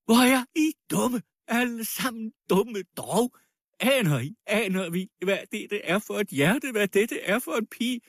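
A man voicing a frantic, anguished sick widow in a storybook reading, hoarse and tearful. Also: high voice, animated, dry recording, studio quality, voice pushed up. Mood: desperate, wailing. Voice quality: hoarse, plaintive. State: crying.

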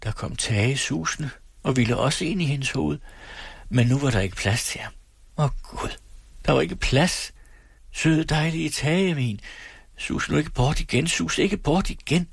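A man voicing a frightened woman patient who pleads in hoarse, desperate whispers, his voice raised well above his own. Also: medium pitch, no room sound, pitched above the performer's own voice.

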